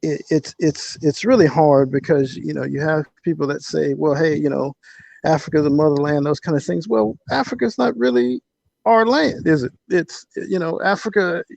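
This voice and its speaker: Middle-aged male guest, gravelly and fairly high, reflective, halting delivery, muffled lo-fi sound. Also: darkish tone, no room sound. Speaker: interview guest, author. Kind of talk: casual, reflective conversation.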